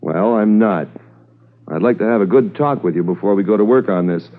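An actor playing a weary, conscientious senior police commissioner in a 1950s radio drama, measured and formal. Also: male voice, lowish voice, lightly acted. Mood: businesslike. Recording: muffled 1950s radio recording.

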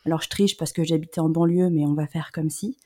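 A woman's voice: deep voice, fairly even intonation, fast, mellow. Hesitant, reflective woman in her thirties. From Rhône-Alpes, France. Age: 30s. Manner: reflective, many hesitant "euh" fillers. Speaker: guest. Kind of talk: personal story in an interview.

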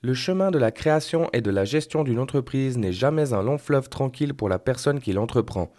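A man hosting a podcast, reading a scripted intro and then asking a guest clear, structured questions. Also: medium pitch, animated, fairly quick, darkish tone. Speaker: podcast host. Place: startup incubator office.

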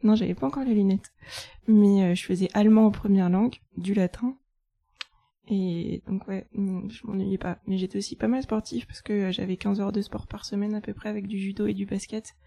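A woman in her thirties from France, chatting about her path in a relaxed way. Her voice is very dark and mellow, and the recording is dry and close. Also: medium pitch, brisk, gravelly, no room sound. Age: 30s. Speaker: guest, chartered accountant. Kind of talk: casual interview.

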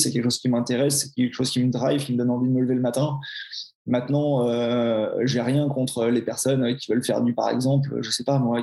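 A man's voice: medium pitch, gravelly, a little mellow, echoey room. A man in his forties with a Swiss French accent, a personal trainer talking frankly and casually in an interview. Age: 40s. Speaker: personal trainer, podcast guest.

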